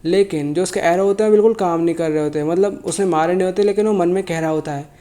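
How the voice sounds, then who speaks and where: A man's voice: sits high, fast, dark tone. A man with a sore throat casually recapping a story, often correcting and rephrasing himself as he goes. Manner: loose, self-correcting, often rephrases himself mid-sentence. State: sore throat, feeling unwell. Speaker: manhwa recap narrator.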